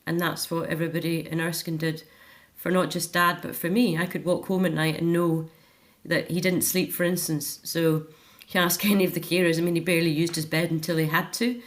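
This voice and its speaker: A woman's voice: on the low side, flat delivery, brisk. A middle-aged Scottish woman talking earnestly and reflectively as a guest in a personal interview. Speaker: interview guest, author and care home volunteer.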